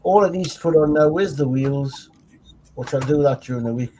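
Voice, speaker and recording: A man's voice: on the high side, normal speed, warm tone, slight background noise, poor audio. Rambling Geordie man. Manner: long-winded, rambling explanations. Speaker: panelist. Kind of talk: casual chat on a live stream.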